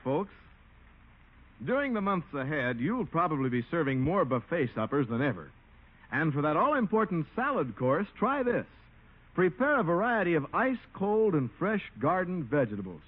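An American man reading scripted announcements and sponsor copy as a 1950s radio announcer. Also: on the high side. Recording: dull old radio recording, music behind.

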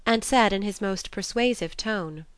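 A woman's voice: mid-range voice, measured pace, clean tone, dry recording. A woman reading a classic novel aloud as a scripted literary narration. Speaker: audiobook narrator.

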